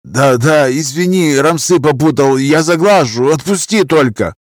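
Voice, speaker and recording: A man's voice: on the high side, expressive, measured pace, dry recording, studio quality, voice pushed up. A man voicing a drunken middle-aged man with a Romani accent, pushy and wheedling, in an audiobook story. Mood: apologetic, wheedling. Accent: Romani-accented Russian.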